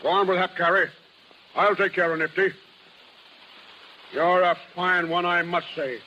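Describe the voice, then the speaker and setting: An actor playing a stern but loyal carnival boss in a 1930s radio drama, emphatic and reproving. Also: male voice, sits high, fairly even intonation, unhurried, sharp tone. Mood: scolding. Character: stern carnival boss called Colonel, scolding yet loyal. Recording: dull, noisy 1930s radio recording.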